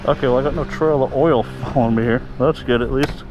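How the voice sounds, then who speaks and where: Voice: mid-range voice, quick pace, lo-fi sound, soft background music. A young adult with a nasal voice and a Midwestern accent, chatting in a loose, rambling way full of fillers while riding a motorcycle. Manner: loose, filler-heavy, with many "like"s and self-corrections. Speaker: motovlogger. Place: outdoors on a moving motorcycle. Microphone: helmet-mounted mic. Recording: loud wind noise on a helmet mic.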